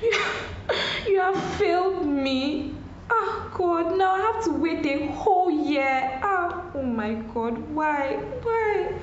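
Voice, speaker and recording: A woman as high-voiced Nigerian student, praying earnestly. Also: expressive, slow pace, exaggerated voice. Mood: tearful, aggrieved. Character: anguished devout young student pleading with God. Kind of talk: emotional radio drama monologue. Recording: muffled, roomy, faint background noise.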